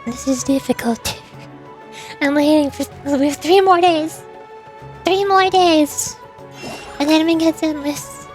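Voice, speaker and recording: A tired, sleepy woman chatting casually and rambling on a livestream as a VTuber. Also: sits high. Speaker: VTuber streamer and amateur voice actor.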